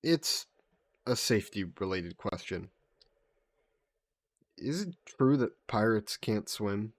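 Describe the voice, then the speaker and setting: A man voicing a vain sea monster who poses as a mannered gentleman in a roleplay audio drama, speaking in suave, formal phrases. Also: on the low side, expressive, takes it slowly, no room sound. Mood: playful, flirty. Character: flirty, vain sea monster posing as a gentleman traveler, turning menacing.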